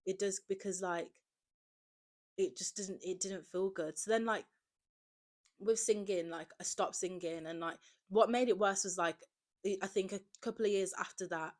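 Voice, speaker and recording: A woman's voice: medium pitch, normal speed. A woman, talking candidly in a casual confessional monologue, often saying "like". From Birmingham area, England. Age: 20s. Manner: candid, conversational, heavy use of "like". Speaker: podcast host.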